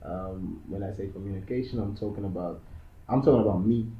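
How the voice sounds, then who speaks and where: A man's voice: low voice, fairly quick. A young man from Angola, raised in South Africa, chatting as a podcast co-host, with short replies that he often repeats. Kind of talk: casual chat. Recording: echoey room, dull lo-fi sound.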